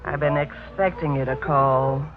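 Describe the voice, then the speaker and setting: An actress playing a tough, fatalistic shooting gallery worker in a hard-boiled 1940s radio mystery. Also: female voice, extremely low pitch, steady tone, measured pace. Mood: resigned. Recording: tinny 1940s radio, faint music.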